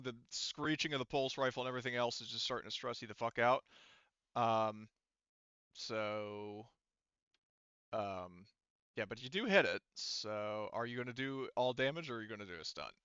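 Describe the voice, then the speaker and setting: A man's voice: medium pitch, normal speed, pushed and bright, clear, resonant tone, dry recording, highs cut off. A man speaking casually as game master of a tabletop roleplaying game, informal and loose with swearing.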